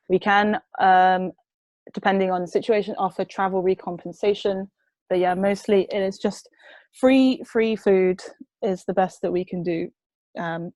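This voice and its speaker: Giggly young woman with a low, dry voice fielding questions on a call. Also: darkish tone, no echo. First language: not Dutch. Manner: chatty, laughs often, hesitant. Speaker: presenter of a food waste charity. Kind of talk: online Q&A answering. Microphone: video call.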